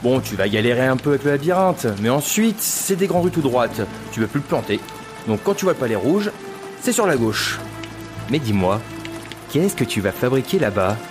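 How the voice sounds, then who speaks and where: A man's voice: mid-range voice, big pitch swings, moderate pace, music in the background, natural voice. An actor playing a familiar, teasing working-class local man in an audio drama, speaking in slang. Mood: helpful. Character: chatty working-class local townsman, mocking but helpful. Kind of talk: French audio drama dialogue.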